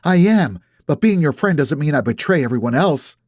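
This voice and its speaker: A man voicing an upset middle-aged woman who scolds a friend in a tense, breathy voice. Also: on the high side, lively intonation, moderate pace, a little mellow, no room sound, studio quality, voice pushed up. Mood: accusing.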